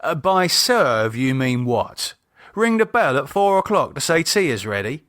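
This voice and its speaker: A man's voice: fairly high pitch, sing-song, measured pace, a bit bright, no room sound, studio quality, voice pushed up. A man voicing a sullen, sardonic English brother in an audiobook, sceptical in manner. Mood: incredulous, appalled. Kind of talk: audiobook character acting.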